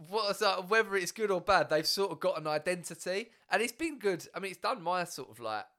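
A man's voice: high-pitched, animated, brisk, sharp tone. A man with an Essex accent, a stand-up comedian chatting as a podcast guest, rambling with lots of filler words and casual swearing. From Essex, England.

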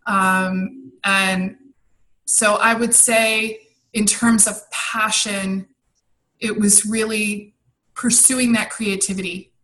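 Woman style coach on a podcast, bright voice, mid pitch, rambly and friendly. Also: level tone, slow, rough recording. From grew up abroad and in Maryland, US. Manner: chatty, thinks aloud with many ums. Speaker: guest, personal style coach. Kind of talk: casual interview answers. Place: home in Maryland.

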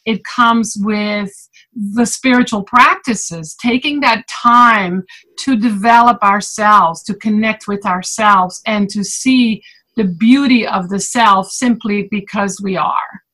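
A woman's voice: mid-range voice, takes it slowly, sharp tone, rough recording. A woman in her sixties, from the Netherlands, giving a guest interview and speaking reflectively about self-love. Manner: reflective, thoughtful. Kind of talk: casual interview talk.

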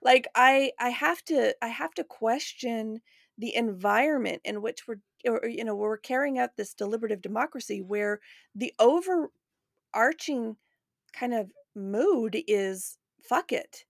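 An American woman hosts a relaxed conversation in a slightly high voice with noticeable fry. Her delivery is blunt and informal. The sound is dry, with very little room in it. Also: a little slow, no room sound. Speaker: podcast host. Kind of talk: casual chat.

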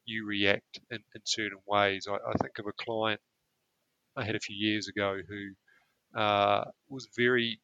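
Therapist guy explaining things, bright tone, dry sound. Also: fairly low pitch, flat delivery, unhurried, dry recording, highs cut off. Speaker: therapist guest. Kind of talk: explanatory interview answer.

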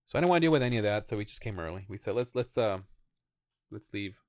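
A man in his thirties talks through plans in a loose, rambling way, dropping the odd swear. His voice sits low, and the sound is close and dry, with no room around it. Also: expressive, quick pace, no echo. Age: 30s. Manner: rambling, easygoing, swears casually. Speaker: podcast co-host. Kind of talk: casual chat.

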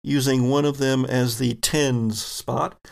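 Slow, creaky-voiced male game master. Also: medium pitch, steady tone. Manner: descriptive, halting, thinking aloud. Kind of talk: narrating a tabletop sci-fi game.

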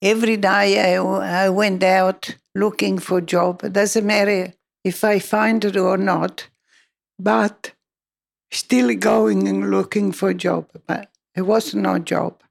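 A woman's voice: medium pitch, fairly even intonation, slow, vocal fry. An older woman recounting her working life in an oral history interview, speaking hesitant, broken English.

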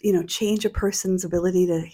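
A woman's voice: lowish voice, fairly even intonation, fast, warm tone. A woman co-hosting a podcast, chatting in a bubbly, giggly way. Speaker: podcast co-host. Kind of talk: casual chat.

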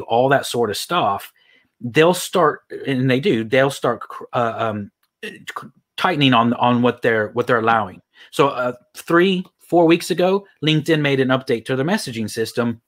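A man in his thirties with a mixed Texan, Irish and British accent, a guest talking casually about online marketing, rambling with many restarts. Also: medium pitch. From United States. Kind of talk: casual interview talk.

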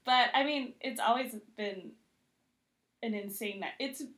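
Chatty, higher-voiced woman guest. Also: unhurried, a bit bright. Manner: chatty, self-deprecating humour, frequent "like". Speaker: podcast guest, TV critic. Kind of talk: casual interview chat.

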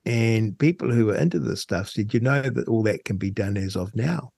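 A middle-aged or older man with a New Zealand accent, hosting a conversational radio interview in a halting, reflective way. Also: fairly low pitch, vocal fry, darkish tone, breathy edge, no room sound. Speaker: talk show host.

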